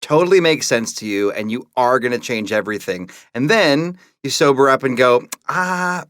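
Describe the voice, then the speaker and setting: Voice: medium pitch, expressive, moderate pace, fairly bright tone, no echo. Dry-sounding, wry podcast co-host. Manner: wry, irreverent, sweary. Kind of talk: casual banter.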